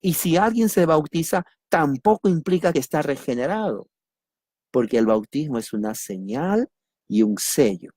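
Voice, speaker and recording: A man from Peru with a Peruvian accent, teaching doctrine in a sermon, repeating key words and asking and answering his own questions, with a lively, joking manner. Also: fairly high pitch, normal speed, breathy edge, no echo, clean studio sound. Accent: Peruvian Spanish. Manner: lively, jokes with listeners. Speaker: preacher.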